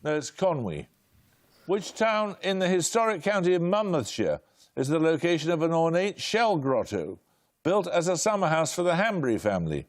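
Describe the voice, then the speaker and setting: Brisk, dry British quiz host. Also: male voice, on the high side, sing-song. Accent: British English. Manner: brisk, dry and wry, clipped delivery. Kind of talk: studio quiz hosting, reading questions. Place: television studio.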